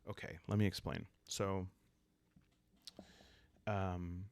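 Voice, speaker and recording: A man hosting a solo roleplay game playthrough, calm and soft-spoken, improvising the story and thinking aloud as he goes. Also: low voice, takes it slowly, vocal fry. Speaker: host playing a solo journaling roleplay game.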